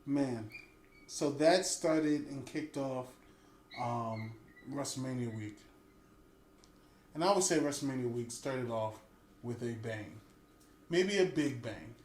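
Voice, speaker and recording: A man co-hosting a casual podcast, talking in a relaxed, joking way. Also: medium pitch, slow pace, pauses often. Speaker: podcast co-host. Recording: echoey room with faint noise.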